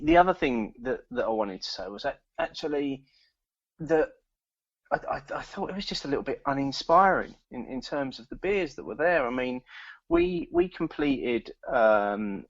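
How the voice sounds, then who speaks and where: A man's voice: mid-range voice, creaky, a bit bright, no room sound. A British man co-hosting a podcast, speaking in a rambling, restart-heavy way. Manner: rambling, many restarts, mildly critical. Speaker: podcast co-host. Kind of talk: casual chat. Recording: dull lo-fi sound.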